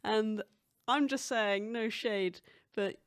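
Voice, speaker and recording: A woman's voice: fairly high pitch, slow, smooth. A woman co-hosting a podcast, chatting casually with friends in blunt, sweary, joking banter. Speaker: podcast co-host. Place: indoors, three people in one room.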